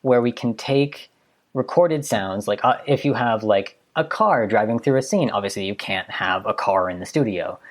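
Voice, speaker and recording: A high-school student in his late teens, a production assistant, chatting casually and rambling with lots of filler words. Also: normal speed. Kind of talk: casual chat.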